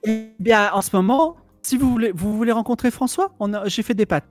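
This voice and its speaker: A man's voice: high-pitched, brisk, no room sound, soft background music, pitched above the performer's own voice, lightly acted. A man voicing a sweet seventy-year-old grandmother hosting guests, in a raised quavering voice. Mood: fussing hostess.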